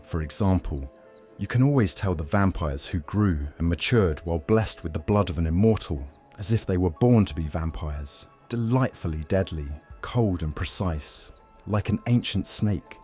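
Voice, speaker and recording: A man playing a young Roman vampire master in an audio drama, measured, teasing and faintly threatening. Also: low-pitched, warm tone, husky. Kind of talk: audio drama roleplay acting.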